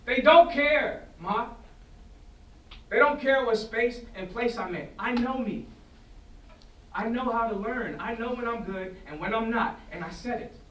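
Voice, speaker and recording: A man's voice: extremely high pitch, a little slow, fairly bright tone. A man playing a defiant, hurt teenage son in a staged play reading, arguing emphatically and repeating himself. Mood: defiant, agitated. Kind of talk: staged play reading, naturalistic dramatic acting. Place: indoor performance space before an audience. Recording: roomy stage, distant mic, faint noise.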